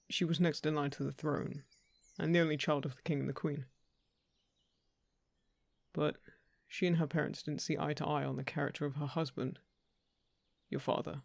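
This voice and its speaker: Man acting earnest, gravelly royal envoy, lightish voice. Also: a little high, no room sound. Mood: gently explaining. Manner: hesitant, apologetic, gently persuasive. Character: polite, earnest male royal envoy seeking a lost heir. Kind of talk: fantasy audio roleplay acting.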